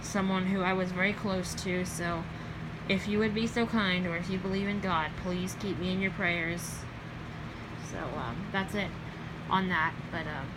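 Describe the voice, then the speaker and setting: A young woman with a Southern US accent, chatting casually in a late-night vlog, rambling with many ums and self-corrections and trailing off softly. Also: mid-range voice, noisy background. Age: young adult. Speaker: vlogger.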